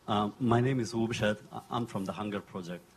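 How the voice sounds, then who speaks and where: A man from Ethiopia poses two careful questions from the audience. His voice is very deep, with a faint hum of the room behind it. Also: brisk. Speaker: audience member asking a question.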